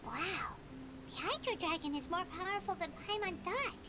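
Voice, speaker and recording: An actress playing a tiny, excitable floating fairy companion girl in a video game, chirpy and speaking of herself in third person. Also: very high-pitched, normal speed. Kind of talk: voiced video game dialogue. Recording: game audio with loud noise.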